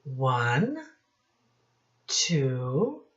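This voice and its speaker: A woman teacher speaking deliberately to young children in a read-aloud lesson, addressing them directly with questions. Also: a bass voice, sing-song. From United States. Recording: dull lo-fi sound.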